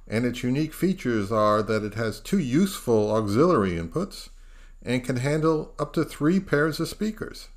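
Middle-aged man narrating a scripted review. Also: medium pitch. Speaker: audio review channel host.

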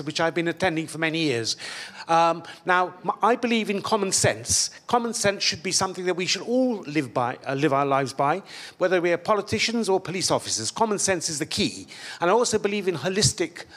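A man in the audience of a panel event who speaks loudly without a microphone, earnestly asking his question. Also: high-pitched, animated, brisk. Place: conference room audience. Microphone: far room microphone, no handheld.

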